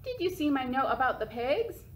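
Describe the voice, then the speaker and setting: A woman's voice: high-pitched, big pitch swings, moderate pace, slight background noise. A woman reading aloud as a worried male farmer who gives bossy instructions. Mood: checking in.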